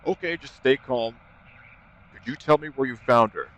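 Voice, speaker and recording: A man's voice: medium pitch, moderate pace, fairly bright tone, natural voice. A man playing a businesslike police emergency dispatcher, questioning steadily in an acted phone call. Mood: calm, concerned.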